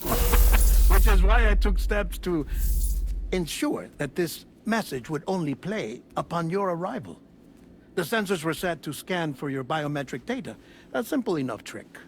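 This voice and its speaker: A man playing an eccentric scientist delivering a recorded message as a theatrical monologue in a game cutscene, deliberate with sudden flourishes. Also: fairly high pitch, animated, a little hiss or hum. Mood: explanatory, dramatic.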